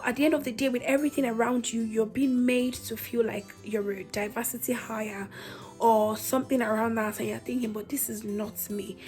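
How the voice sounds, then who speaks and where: High-voiced Nigerian woman, emphatic and direct. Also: darkish tone. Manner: emphatic, direct, talks to viewers with rhetorical questions. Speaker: senior nurse and vlogger. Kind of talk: candid vlog monologue to viewers.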